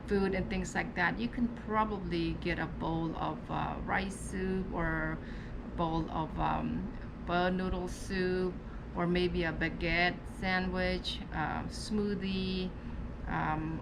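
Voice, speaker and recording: A woman from Cambodia with a Cambodian accent and Khmer as her first language, explaining things informally and a little haltingly as a travel vlogger. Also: lowish voice. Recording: clearly noisy background.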